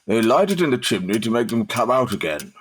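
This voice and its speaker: A man playing a callous, blunt gentleman of the workhouse world in a period audio drama. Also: medium pitch, very animated, a little fast, a little hiss or hum, close to an everyday voice. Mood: cold, blunt. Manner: blunt, unfeeling.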